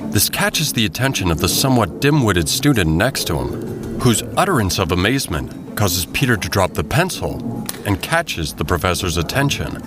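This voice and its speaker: A man narrating a scripted audio drama as a measured, descriptive storyteller. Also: low voice, sing-song, music in the background. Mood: storytelling.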